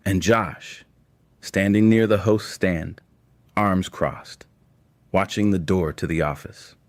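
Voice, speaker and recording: A man's voice: deep voice, takes it slowly. A man narrating a scripted audio story.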